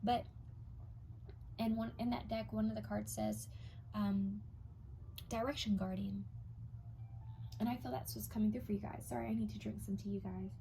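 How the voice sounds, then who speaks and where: A young woman with a gravelly, mellow, slightly raspy voice talks straight to her viewers through a tarot reading, slipping into soft whispers as she studies each card. A faint hiss sits under the voice. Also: mid-range voice, steady tone, moderate pace, pauses often, rough recording. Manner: intuitive, addresses viewers directly, drops to whispers while reading cards.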